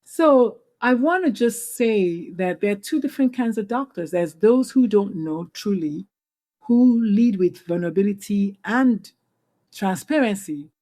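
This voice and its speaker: Nigerian woman, earnest and animated. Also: mid-range voice, a little slow. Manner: earnest, animated storytelling with frequent restarts. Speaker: physician and podcast guest. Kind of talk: conversational interview.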